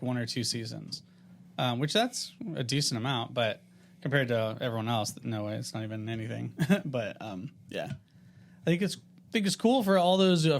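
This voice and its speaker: A man co-hosting a podcast, chatting casually in a slangy, animated way. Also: medium pitch, vocal fry, a little hiss or hum. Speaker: podcast co-host. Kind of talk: casual chat.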